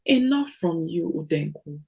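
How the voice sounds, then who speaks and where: A woman reading aloud, voicing a peaceable senior wife who answers in few, low words. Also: sing-song, moderate pace, gravelly, warm tone, slightly rough, exaggerated voice. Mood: restrained.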